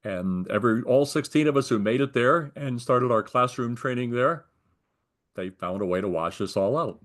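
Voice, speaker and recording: A man's voice: medium pitch, moderate pace, a bit bright. An older American man in a conversational interview, explaining his working life in careful detail. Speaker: retired air traffic controller being interviewed.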